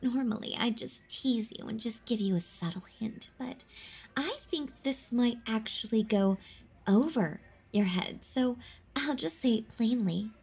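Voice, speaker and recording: A woman performs a predatory female vampire in an intimate roleplay drama. Her voice is fairly high and breathy, and she draws her words out very slowly. She is mocking and possessive, talking down to her prey with teasing confidence. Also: a little mellow. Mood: sly, menacing. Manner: mocking, condescending, slow and deliberate.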